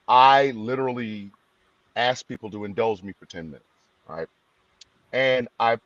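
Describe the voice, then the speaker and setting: A man's voice: medium pitch, dry recording. A man co-hosting a podcast, making his point emphatically in a building cadence. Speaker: podcast co-host. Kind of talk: casual podcast discussion. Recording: dry, slightly dull sound.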